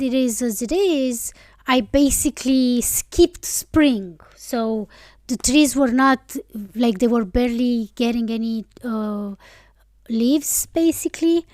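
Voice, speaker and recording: A woman's voice: sits high, darkish tone, dry recording. A woman from Romania, an English speaker, hosting her podcast in a reflective, rambling way that keeps correcting itself. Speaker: podcast host. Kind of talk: casual solo podcast reflection.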